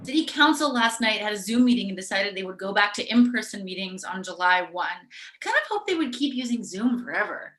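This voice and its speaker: Lively actress as a casually chatting woman. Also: medium pitch, a little fast. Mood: chatty. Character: casual woman chatting about local council news. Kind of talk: naturalistic staged play reading.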